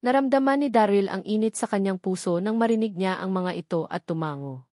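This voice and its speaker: A woman's voice: medium pitch, brisk, dark tone, no echo, clean studio sound. A woman reading a novel aloud as a scripted story narration. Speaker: audiobook narrator.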